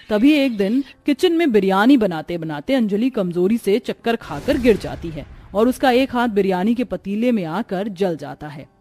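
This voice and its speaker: A woman narrating a scripted cartoon story in an expressive storytelling manner. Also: mid-range voice.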